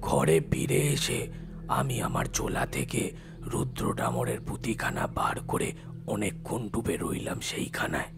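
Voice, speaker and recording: A man playing a solemn, compassionate tantric telling a horror audio story in a slow, hushed, deliberate way. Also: low-pitched, big pitch swings, creaky, over music, heavily acted. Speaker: narrating lead character.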